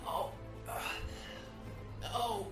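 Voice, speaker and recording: Guy as furious desperate dad in radio drama, very slow tense words, roomy, off mic. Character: enraged, desperate father defending his family. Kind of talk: amateur radio play acting. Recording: echoey and distant, faint music.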